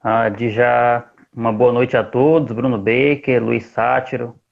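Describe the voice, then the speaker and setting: Gravelly young man on a tinny line. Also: medium pitch, flat delivery, a little slow. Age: 20s. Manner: polite, greeting everyone. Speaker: guest poet. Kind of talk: casual introduction on a live stream. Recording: thin phone-like live stream audio.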